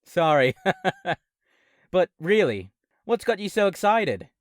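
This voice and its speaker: A woman's voice: lowish voice, big pitch swings, takes it slowly, no room sound, studio quality. A woman playing a casual, protective robot best friend in an audio drama, laid-back and teasing. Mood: curious, teasing.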